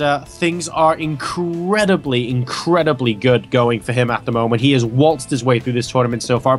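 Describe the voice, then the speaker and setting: A man casting a video game match, explaining builds in detail and trading light jokes with his co-caster. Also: medium pitch. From Hong Kong. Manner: analytical, joking, quick banter. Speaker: esports caster. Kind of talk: esports play-by-play commentary.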